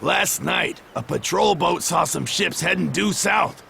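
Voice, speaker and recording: A man playing a gruff, seasoned seafarer in a video game, gravelly and folksy with clipped dialect phrasing. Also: high voice, lively intonation, measured pace, bright, forceful tone, a little hiss or hum, exaggerated voice. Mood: gruff advising. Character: gruff seasoned male Norman seafarer, plain-spoken elder.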